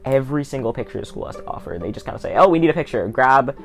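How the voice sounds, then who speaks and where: A man's voice: mid-range voice, expressive, rapid-fire, creaky, soft background music. A teenage male with an American accent, hosting a casual interview podcast with sarcastic asides.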